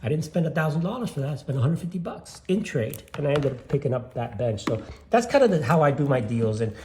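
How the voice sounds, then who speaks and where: A man's voice: a little high, big pitch swings, quick pace, a little mellow, echoey room, slight background noise. An older man from Cuba chatting casually about his collection, rambling with lots of fillers and restarts. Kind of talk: casual show-and-tell vlog.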